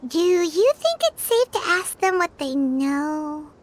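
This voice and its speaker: A woman voicing a tiny, excitable, childlike fairy companion in a cartoonish video game, with a squeaky, naive, exclamatory delivery. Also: takes it slowly, exaggerated voice. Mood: curious. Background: music.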